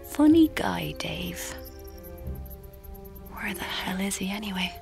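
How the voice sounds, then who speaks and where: An actress playing a dreamy, self-deluded young Irish woman narrating her own story in a breathy, confiding, languid way for radio drama, with music underneath. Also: medium pitch, animated, slow pace. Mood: dreamy, self-satisfied. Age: young adult. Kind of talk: intimate first-person radio drama narration.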